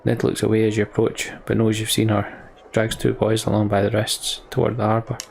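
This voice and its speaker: A man's voice: lowish voice, completely flat delivery, brisk, gravelly, a little mellow. A man reading story text from a game aloud and adding casual commentary as he plays. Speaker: let's player.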